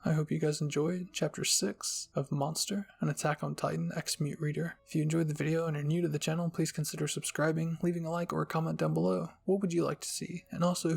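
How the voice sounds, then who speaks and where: A man's voice: on the high side, gravelly, breathy edge. A man narrating a scripted first-person story as the host of an audio channel.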